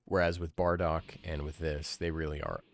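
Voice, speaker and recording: A man's voice: very deep voice, level tone, normal speed, slight background noise. A man in his thirties giving casual, conversational gameplay commentary as a streamer, thinking aloud into a close mic. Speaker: game streamer, podcast host.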